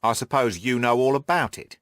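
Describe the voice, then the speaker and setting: A man's voice: medium pitch, fairly quick, fairly bright tone, dry recording, clean studio sound, close to an everyday voice. Man playing a snappish, blustering police detective. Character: gruff, irritable, cocksure male police detective. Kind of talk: audiobook character dialogue.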